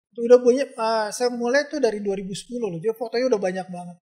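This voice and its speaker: A man's voice: very high-pitched, steady tone, racing, warm tone. Brief: male photographer guest from Indonesia, casual, rambling and chatty with many fillers. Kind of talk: casual interview talk.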